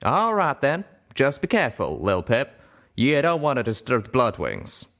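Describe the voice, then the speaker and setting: A man's voice: mid-range voice, huge pitch swings, normal speed, a bit bright, pitched above the performer's own voice. A man voicing a folksy, easygoing male pegasus pony with an in an audio story. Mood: cautioning. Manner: drawling, folksy.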